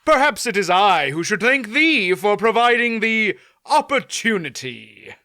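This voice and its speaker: A man voicing a chivalrous, formal-spoken knight, a little raised and bright. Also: high-pitched, sing-song, measured pace, no echo, studio quality, pitched above the performer's own voice, full character voice. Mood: earnest. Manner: old-fashioned formal speech. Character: boastful chivalrous male knight, formal, archaic.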